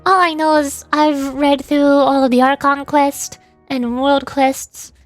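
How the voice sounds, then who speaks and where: A high-pitched woman game streamer who slips between casual chat and reading lore aloud. She comes across as giggly, easily puzzled and self-deprecating, with game music playing faintly behind her. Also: level tone, takes it slowly, dry recording.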